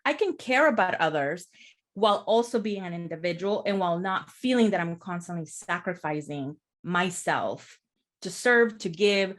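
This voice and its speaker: Woman therapist from el salvador, lowish voice, explains things thoughtfully, lots of "right?". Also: expressive, normal speed. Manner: reflective, explanatory, often checks in with "right?". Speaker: therapist guest. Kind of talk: casual podcast conversation.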